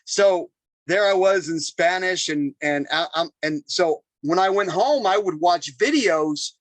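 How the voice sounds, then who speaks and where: A man, a chef, telling stories in a casual, animated interview with lots of repeated phrases. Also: high-pitched, unhurried, no room sound. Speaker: chef and podcast guest.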